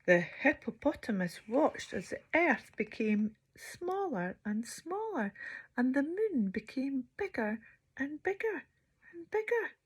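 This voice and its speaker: A woman storyteller reading to children theatrically, drawing out words and dropping into hushed near-whispers. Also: sits high, very animated, slow.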